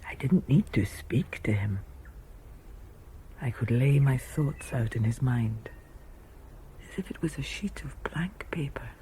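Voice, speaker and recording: An actress playing a tender, reserved, dreamy mother in an intimate audio drama, speaking in a quiet, measured, deliberate way. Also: very deep voice, lively intonation, a little hiss or hum, rough recording. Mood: tender, hushed.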